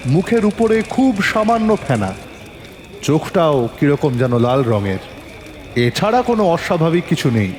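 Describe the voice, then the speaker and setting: A male storyteller reads scripted first-person fiction in a fairly high voice. His delivery is dramatic and very animated in pitch. A faint layer of outdoor urban ambience with traffic sits underneath. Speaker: narrator and lead actor of an audio story.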